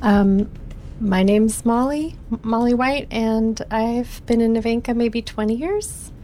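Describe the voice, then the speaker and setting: A woman's voice: on the high side, unhurried, warm tone, a little hiss or hum. A woman from Los Angeles, once a radio host, chatty and friendly as she introduces herself. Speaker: singer, former radio host. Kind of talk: casual self-introduction.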